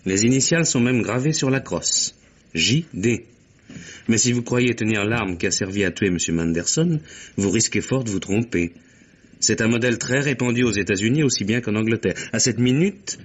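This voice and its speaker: An actor playing a brisk, self-assured private secretary, talkative and frank, in a mid-century French radio mystery. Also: male voice, medium pitch, expressive, close to an everyday voice.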